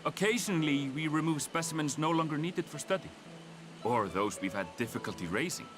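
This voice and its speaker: An actor playing a composed, helpful creature collector in a video game, with an Icelandic accent and a measured, explanatory delivery. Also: male voice, on the high side, expressive, natural voice. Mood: calm, explanatory. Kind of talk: scripted video game dialogue. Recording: game music behind the voice.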